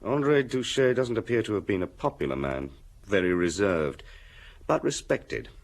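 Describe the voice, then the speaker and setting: Deep-voiced, terse police officer. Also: male voice. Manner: terse, factual. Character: matter-of-fact male police officer giving his report. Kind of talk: radio drama, clipped report narration.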